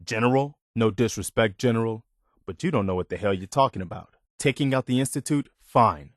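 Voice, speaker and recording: An actor playing an earnest, loyal and idealistic militia officer in a video game, measured and plain-spoken. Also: male voice, mid-range voice, lively intonation, dry recording, studio quality, close to an everyday voice. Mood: indignant, challenging. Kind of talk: video game character dialogue.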